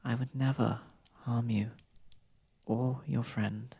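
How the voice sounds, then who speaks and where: A courtly, centuries-old vampire acted by a man speaks in a soft, breathy voice with a gravelly fry, close and very dry on the microphone. He is polite, tender and unhurried, soothing the listener in an intimate ASMR roleplay. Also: mid-range voice, little pitch movement, dry recording, heavily acted. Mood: tender, reassuring. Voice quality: soft, breathy, slightly gravelly, often near whisper. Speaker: ASMR voice actor.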